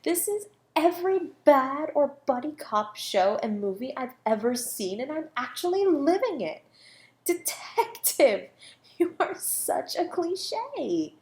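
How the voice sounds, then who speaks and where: A woman acts out a self-assured female academic suspected of murder in an intimate audio roleplay. She is coy and teasing, speaking with measured composure. Her manner is condescending and flirtatious, as if she is always a step ahead of the detective. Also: high voice, sing-song, a little mellow, full character voice. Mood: amused, flirty. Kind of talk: flirty audio roleplay, one-sided dialogue.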